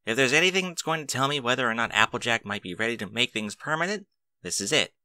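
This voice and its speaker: A man's voice: medium pitch, expressive, fast talker, bright, forceful tone, no echo. A man voicing an earnest, bookish young female unicorn pony in an audiobook story, with a fussy, precise manner. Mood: anxious, hopeful.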